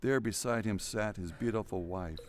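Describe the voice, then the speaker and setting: A man's voice: fairly low pitch, normal speed. A man narrating an audio drama, reading a scripted story in a dramatic storytelling style. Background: music.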